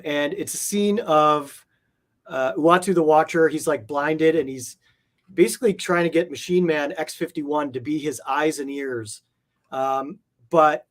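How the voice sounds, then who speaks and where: A man chatting casually as an interview guest, earnest and halting, circling back over phrases for emphasis. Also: a little high. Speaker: guest, comic art collector.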